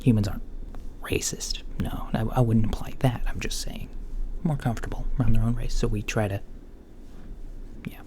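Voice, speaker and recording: A man playing a mild, puzzled alien doctor in a soft, breathy, often whispered ASMR roleplay, with hesitant, gently deadpan murmuring. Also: lowish voice, normal speed, lightly acted. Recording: lo-fi home recording, faint background noise.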